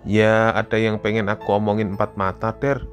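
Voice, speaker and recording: Man acting a young male hiker, Indonesian accent, earnest, plain-spoken, cartoon horror, clear music bed, crickets. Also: fairly low pitch, little pitch movement, normal speed, close to an everyday voice. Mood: friendly, planning. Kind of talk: animated horror story dialogue.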